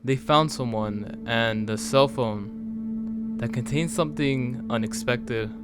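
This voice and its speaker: A man with a medium-pitched voice narrates from a script. His delivery is measured and serious, like a storyteller walking through an investigation. Music plays clearly underneath his voice. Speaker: narrator of a video analysing a horror series.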